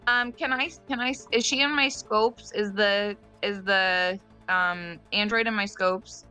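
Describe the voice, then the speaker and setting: A woman's voice: mid-range voice, fairly even intonation, measured pace, bright, forceful tone, smooth, rough recording. A woman talking casually as a player in a tabletop roleplaying game, deciding her character's moves. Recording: background music under the voice. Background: music.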